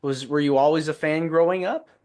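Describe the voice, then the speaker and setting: Upbeat podcast host, higher voice. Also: male voice, brisk, a little mellow. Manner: upbeat, enthusiastic introductions. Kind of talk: interview podcast hosting.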